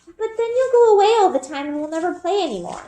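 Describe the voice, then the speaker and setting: Woman doing a high, pouty little sister. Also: big pitch swings, quick pace, warm tone, heavily acted. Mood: pouting. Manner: childlike, pleading. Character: playful, pouting little sister. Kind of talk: children's storybook read-aloud. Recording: roomy indoor echo.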